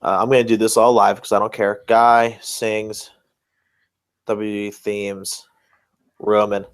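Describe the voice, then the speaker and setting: A man's voice: on the low side, little pitch movement, a little slow. A man co-hosting a podcast, reacting off the cuff in casual chat. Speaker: podcast co-host.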